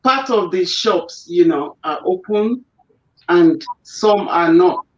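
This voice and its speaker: Slow-speaking woman guest. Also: lowish voice, expressive, a little mellow. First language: Yoruba. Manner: hesitant, with fillers and restarts. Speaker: guest, actress and presenter. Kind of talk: casual video-call interview. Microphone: remote call audio. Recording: roomy, dull remote call audio.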